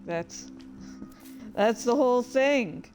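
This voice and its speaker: A woman's voice: fairly high pitch, lively intonation, drawn-out words, darkish tone, faint music behind. A woman telling gaming stories casually as a host, in a rambling, informal, sweary way. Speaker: host of a reading and gaming channel. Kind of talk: casual storytelling about gaming.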